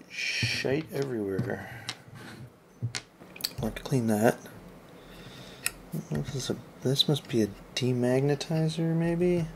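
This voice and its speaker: Creaky-voiced repair host muttering over loud noise. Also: medium pitch. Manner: rambling, muttering, thinking aloud. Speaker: video host repairing electronics. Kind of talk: casual off-the-cuff repair commentary. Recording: noisy recording with clicks.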